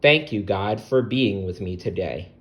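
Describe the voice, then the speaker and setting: A man's voice: lowish voice, lively intonation, measured pace, fairly bright tone. Man as a timid, earnest little spider. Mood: grateful.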